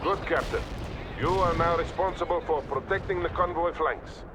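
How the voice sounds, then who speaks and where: An actor playing a stern, weary Soviet colonel in a video game, giving clipped orders with a Russian accent. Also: male voice, fairly high pitch, normal speed, close to an everyday voice. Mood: commanding. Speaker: military commander in a game. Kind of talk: scripted video game cutscene acting. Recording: loud battlefield noise behind.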